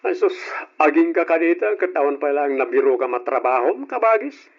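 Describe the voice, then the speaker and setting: A bossy, lecturing young elder brother in an old radio drama, his voice pitched high for a man. He scolds his younger sibling about work. The recording sounds dull and boxy like old broadcast audio. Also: expressive, fairly quick, faint music behind. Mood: earnest.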